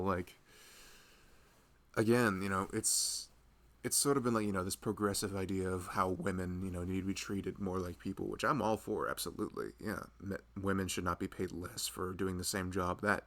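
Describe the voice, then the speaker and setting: Loving young husband acted by a man for intimate ASMR roleplay: very low, creaky voice, earnest, informal, rambling delivery. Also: fairly even intonation, normal speed, a bit bright. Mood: earnest, tender. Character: loving, chatty, earnest husband of a pregnant wife. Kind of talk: improvised ASMR roleplay, casual intimate chat.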